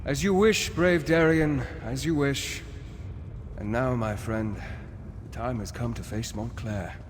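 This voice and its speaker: An actor playing a noble, earnest war leader in a fantasy video game, formal and dramatic in delivery. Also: male voice, medium pitch, slow pace, in a large hall, noisy background, close to an everyday voice. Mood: solemn, reassuring.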